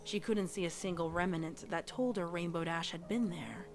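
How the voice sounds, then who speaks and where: A woman's voice: lowish voice, normal speed, lightly acted. A woman reading a horror story as a narrator, in a dramatic scripted style. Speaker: narrator of a fan fiction audio reading. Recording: music behind the voice. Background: music.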